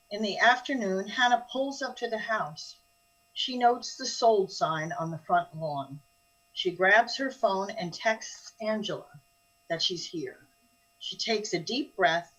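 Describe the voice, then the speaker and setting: A woman's voice: mid-range voice, fairly bright tone, husky, dull audio. A woman reading scripted narration and stage directions for a radio drama.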